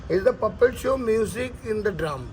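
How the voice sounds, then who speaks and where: A man from Rajasthan, a traditional performer with a Rajasthani Indian accent, answering informally in halting, broken English with short repeated phrases. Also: sits high, animated, a little mellow, a little hiss or hum. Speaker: traditional puppeteer and folk musician.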